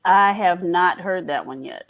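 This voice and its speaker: A woman's voice: lowish voice, a little slow, a bit bright, muffled recording. A woman taking part in a panel discussion, talking in a casual, conversational way. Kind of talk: casual chat.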